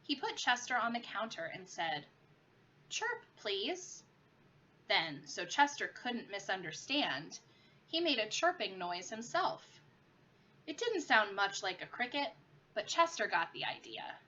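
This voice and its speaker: Female teacher for children's read-aloud: bright, very lively intonation, slightly muffled lo-fi recording with noticeable noise. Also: medium pitch, measured pace. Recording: muffled lo-fi with clear background noise.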